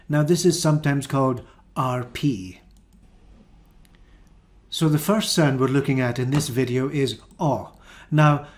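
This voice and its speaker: Slow, careful Scottish teacher. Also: male voice, mid-range voice, a little mellow. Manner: slow, careful, drawn-out model words. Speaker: English teacher. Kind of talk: pronunciation lesson.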